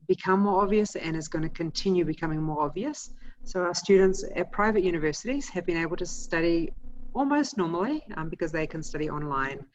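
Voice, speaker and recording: A woman in her forties from New Zealand, with a New Zealand accent, speaking earnestly and reflectively as a student ministry worker and pausing to search for words. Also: on the low side. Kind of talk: informal talk on a video call. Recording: slightly muffled video-call audio.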